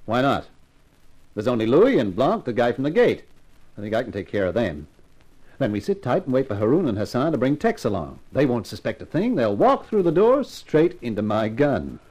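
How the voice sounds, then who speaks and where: An actor playing a tough, cocky private eye with slangy, hardboiled delivery in a 1950s radio crime drama. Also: male voice, medium pitch, huge pitch swings, moderate pace, darkish tone, husky, low-quality recording. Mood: determined, cocky. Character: tough, wisecracking, confident male private eye. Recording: vintage 1950s radio recording.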